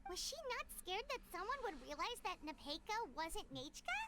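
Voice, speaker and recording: A woman voicing a small, excitable, protective fairy companion in a video game, squeaky and emphatic in delivery. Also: normal speed, a little hiss or hum, full character voice. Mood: surprised, indignant. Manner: emphatic, exclamatory.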